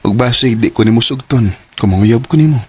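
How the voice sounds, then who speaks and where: A man's voice: mid-range voice, brisk, a little mellow, slight background noise, natural voice. A man playing an easygoing, sweet-talking young boyfriend in a radio drama. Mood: bashful, hopeful.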